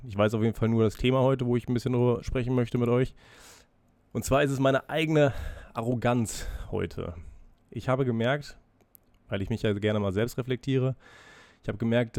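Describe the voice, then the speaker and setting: Ein erkälteter Mann spricht frei und nachdenklich in seinem Podcast, reflektiert über sich selbst, mit Zögern und Füllwörtern. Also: male voice, on the low side, a little mellow, no room sound. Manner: freely spoken, musing, self-reflective with hesitations. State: has a cold, breathing heavily. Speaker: podcast host. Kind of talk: casual solo podcast monologue.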